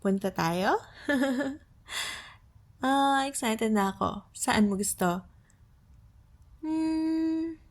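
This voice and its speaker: Slow, tender Filipino girlfriend played by a woman. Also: sits high, animated, heavily acted. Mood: dreamy, affectionate. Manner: teasing, tender, patient, explains words slowly. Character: affectionate, playful Filipino girlfriend tutoring her partner. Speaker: ASMR roleplay performer. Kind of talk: soft ASMR roleplay, Tagalog lesson mixed with English.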